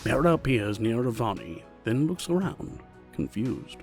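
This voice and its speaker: A man narrating a scripted fantasy story in a measured, formal manner. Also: medium pitch, sing-song, darkish tone, soft background music.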